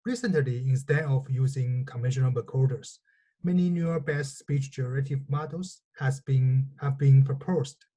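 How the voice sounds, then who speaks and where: A man's voice: medium pitch, normal speed, mellow. A man who is a native Chinese speaker narrates a scripted technical lecture in a methodical, formal manner, occasionally stumbling over words. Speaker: researcher presenting a technical lecture.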